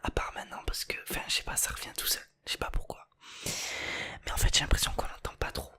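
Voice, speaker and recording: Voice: quick pace, bright, forceful tone. A person with a light southern French accent, whispering an informal, unscripted ASMR chat straight to the viewers. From southern France. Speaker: ASMR video creator.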